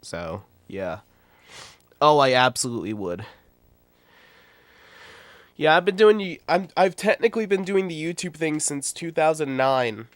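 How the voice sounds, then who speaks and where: A man's voice: on the high side, big pitch swings, measured pace, pauses often, no echo. A man in his twenties with a nasal, stuffy voice from a cold, talking bluntly and rambling in casual livestream commentary. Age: 20s. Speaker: YouTuber and streamer.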